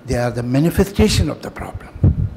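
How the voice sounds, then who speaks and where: An older man whose first language is Urdu gives a reflective, emphatic public speech at a festival, pausing often and adding wry humor. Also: medium pitch, sing-song, creaky, mellow, a little hiss or hum. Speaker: festival speaker. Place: hotel hall. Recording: long hall reverb.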